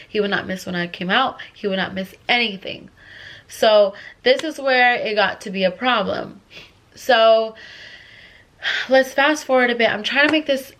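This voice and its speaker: A woman's voice: mid-range voice, normal speed, a bit bright. A young woman telling a casual storytime, congested from a cold, rambling with lots of fillers. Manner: rambling, filler-heavy, restless. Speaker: vlogger.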